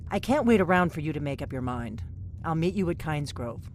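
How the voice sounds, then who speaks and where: A brisk, secretive woman in a game. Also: sits low, very animated, background noise. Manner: brisk, commanding, matter-of-fact. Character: secretive tough woman, urging haste. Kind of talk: game character dialogue. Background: loud game music.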